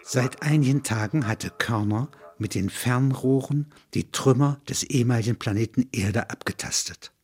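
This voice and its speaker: Male narrator, medium pitch, somewhat raspy tone. Matter-of-fact literary reading in a documentary register. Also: normal speed, hardly any pauses, darkish tone.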